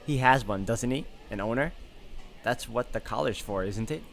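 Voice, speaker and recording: A man narrating visual novel text aloud as a let's player, in an expressive storytelling style. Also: medium pitch, normal speed, creaky, a little hiss or hum. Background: guitar music.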